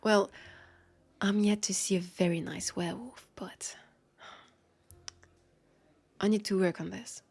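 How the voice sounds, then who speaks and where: Woman acting a female vampire cop, French accent, audio roleplay, wry and confiding, creaky, unhurried. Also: mid-range voice, fairly even intonation, darkish tone. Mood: uneasy, earnest. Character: wary female vampire police officer, guarded but friendly. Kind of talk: roleplay audio drama, intimate one-sided conversation.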